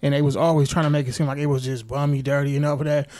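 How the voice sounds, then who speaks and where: A man's voice: on the high side, level tone, very fast, darkish tone, a little hiss or hum. A man with an American accent interviewing in a casual, slangy, conversational way. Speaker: interviewer, show host.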